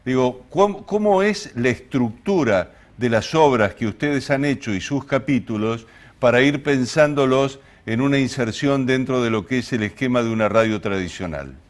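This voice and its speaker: A man's voice: mid-range voice, expressive, normal speed. A middle-aged man with an Argentine accent hosting a radio interview in a wordy, reflective way. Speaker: radio host.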